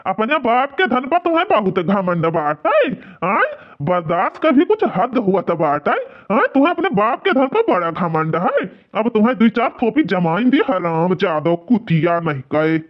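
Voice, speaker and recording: A man's voice: high voice, sing-song, normal speed. A man voicing an angry, blustering old village husband in a cartoon comedy, with an Awadhi accent, in dull lo-fi sound. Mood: furious scolding. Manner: blustering, repeats accusations.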